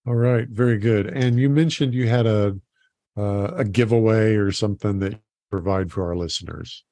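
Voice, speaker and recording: Low-voiced guy hosting a podcast, laid-back and folksy, dry close sound. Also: moderate pace, dark tone, no room sound. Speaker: podcast host. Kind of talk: casual interview chat.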